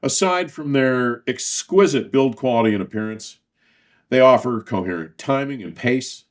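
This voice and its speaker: A man hosting a scripted product review, speaking formally in measured, emphatic phrases with deliberate pauses. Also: mid-range voice. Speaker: host and audio equipment reviewer.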